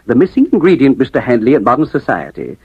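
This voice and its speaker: A man's voice: mid-range voice, wildly swooping pitch, fast, breathy edge, no echo, heavily acted. An American man playing a persistent, genial salesman in a 1950s radio drama, persuasive, flowery and emphatic, on a dull vintage recording. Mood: grandiose. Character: smooth-talking, persistent male romance-service salesman, genial and grandiose.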